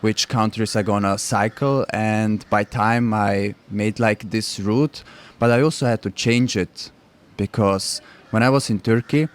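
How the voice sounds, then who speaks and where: Young man, non-native english, fairly low voice, relaxed, chuckles between answers. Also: a little slow. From Switzerland, Croatian family. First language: not English. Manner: relaxed, laughs often, simple non-native phrasing. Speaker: guest cyclist. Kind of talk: radio interview.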